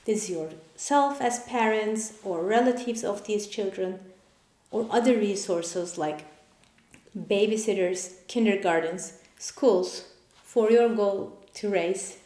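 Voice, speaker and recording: Measured woman explaining in a roomy echo. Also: medium pitch, a little mellow. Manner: measured, explanatory, listing points one by one. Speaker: parent and channel host. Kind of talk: scripted explainer. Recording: roomy echoey room.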